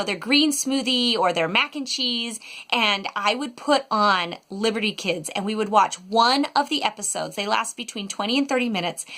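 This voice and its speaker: A woman's voice: on the high side, fairly bright tone. A middle-aged American woman giving a casual, chatty video review with an enthusiastic, emphatic delivery. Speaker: homeschool mom reviewing educational resources.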